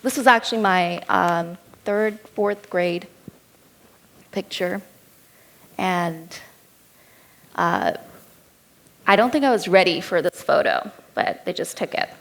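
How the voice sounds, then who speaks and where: A woman's voice: lowish voice, animated, slow, creaky, pauses often, a bit bright. A woman in her thirties with an Indian accent, giving a keynote talk as a personal story told in a candid, lightly humorous way. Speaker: keynote speaker.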